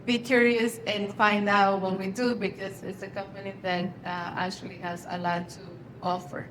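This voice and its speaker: A woman's voice: mid-range voice, level tone, a bit bright, low-quality recording. Earnest woman. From Colombia. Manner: earnest, halting, non-native phrasing. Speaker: company ambassador on a panel. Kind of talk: introducing herself to students. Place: high school room. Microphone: handheld microphone. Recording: clear background noise in a school room.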